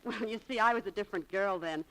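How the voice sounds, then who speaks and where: A woman's voice: medium pitch, quick pace, fairly bright tone, a little hiss or hum, lightly acted, rough recording. An actress playing a candid, romantic young shopgirl in a 1940s radio drama, breezy and confiding. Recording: vintage 1940s radio recording.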